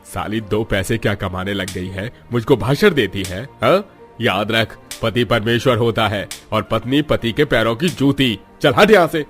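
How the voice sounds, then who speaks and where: A man's voice: lowish voice, a little slow, fairly bright tone, soft background music. An actor playing a coarse, domineering bully of a man in a cartoon drama, full of scorn. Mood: menacing contempt. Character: bullying, coarse man: grumpy boss and drunken husband.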